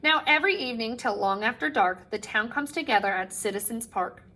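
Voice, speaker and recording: Woman teacher, children's read-aloud, very lively intonation, bright tone, dramatic pauses. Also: on the high side. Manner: animated, dramatic pauses, drawn-out phrase endings. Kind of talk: expressive picture-book read-aloud for children.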